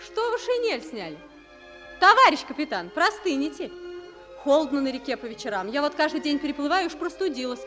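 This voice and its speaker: Casting: young frontline nurse, high girlish voice, animated intonation, confiding and naive, vintage radio drama. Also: fairly quick, faint music behind. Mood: concerned, chatty. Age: young adult. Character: very young, candid, wistful army nurse. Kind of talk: Soviet wartime radio drama acting.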